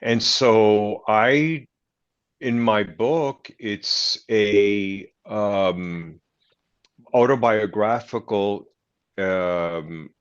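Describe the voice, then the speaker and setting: An older man, a priest and author, speaking reflectively as an interview guest in a deliberate, earnest, halting way, often repeating phrases. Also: lowish voice, fairly bright tone, clear, resonant tone, lo-fi sound.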